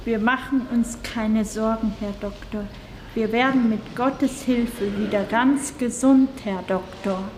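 A woman's voice: on the high side, steady tone, takes it slowly, a little mellow. An actress playing a pious hospital nurse in a radio drama, soothing her patient in a coaxing, patronizing manner. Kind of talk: stylized radio drama acting. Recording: reverberant hall, faint background noise.

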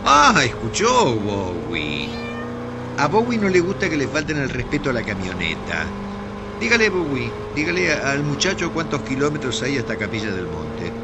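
A man's voice: mid-range voice, wildly swooping pitch, moderate pace. A man playing the good-natured, pompous leader of a touring theatre troupe in a radio drama, ceremonious and emphatic, with an Argentine accent. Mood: playfully solemn. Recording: noisy vehicle ambience.

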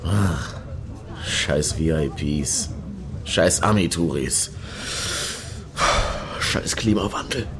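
An actor playing a crude, cynical ex-boyfriend in a radio satire, with a sardonic, grumbling delivery. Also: male voice, rumbling low, extremely slow, long pauses, crowd noise, full character voice. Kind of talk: German radio play dialogue.

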